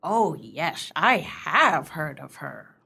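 A woman's voice: deep voice, sing-song, slow, vocal fry, sharp tone, slightly rough, full character voice. Breezy society lady, wildly swooping voice. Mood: blithe, unbothered. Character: breezy, unbookish society woman, cheerfully frank and vague. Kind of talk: stage-style radio play acting.

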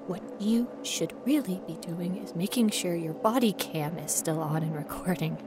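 A woman's voice: on the low side, normal speed, noisy background, lightly acted. A woman playing a frightened, wry young ghost researcher in an audio horror monologue, rambling nervously to herself. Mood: reflective, uneasy. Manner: rambling nervous self-talk, sardonic, often dropping to whispers.

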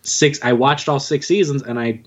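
A man's voice: medium pitch, normal speed. A man chatting casually as a podcast guest, recorded on a dull home-studio microphone. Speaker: podcast guest, audio drama writer. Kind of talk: casual chat. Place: home studio in an old house.

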